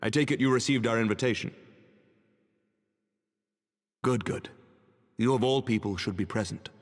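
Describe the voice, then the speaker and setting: A man playing a courtly, pious dignitary in a fantasy game, speaking in measured, formal oratory. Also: medium pitch, in a large hall, close to an everyday voice. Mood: gracious, solemn. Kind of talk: dramatic fantasy game acting.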